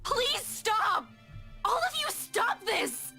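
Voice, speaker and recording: Visual novel heroine: young woman, very high voice, earnest and distressed, music behind. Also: big pitch swings, extremely slow, gravelly, sharp tone, full character voice. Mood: pleading. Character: young wife and women pleading, distressed, trying to be reasonable.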